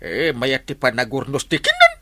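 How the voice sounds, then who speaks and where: A man's voice: medium pitch, huge pitch swings, normal speed, bright, forceful tone, no echo, clean studio sound, heavily acted. A man playing a jolly, gluttonous, tipsy village friend in an Ilocano radio comedy, with an Ilocano accent and exaggerated, drawling interjections. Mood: jolly, wheedling.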